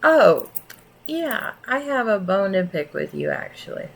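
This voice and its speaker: A woman streamer chatting casually on her own channel, slow and blunt in her talk. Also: medium pitch, sing-song, vocal fry.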